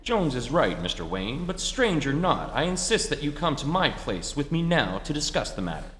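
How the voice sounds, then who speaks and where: Male villain for 1940s-style radio drama: insistent, menacing blackmailer, animated delivery, roomy hall sound. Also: fairly high pitch, normal speed. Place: stage of a community theatre. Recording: roomy theatre hall, faint noise.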